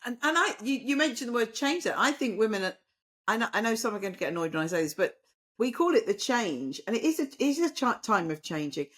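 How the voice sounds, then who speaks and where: A woman's voice: fairly high pitch, sing-song, quick pace, fairly bright tone. A woman hosting a podcast in casual conversation, enthusiastic and often repeating words as she gathers her thought. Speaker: podcast host.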